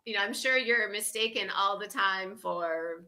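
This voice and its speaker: Bright-voiced enthusiastic woman in her fifties. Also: mid-range voice, measured pace. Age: 50s. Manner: enthusiastic, effusive, laughs often. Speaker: co-host of a wellness livestream. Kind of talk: casual livestream chat.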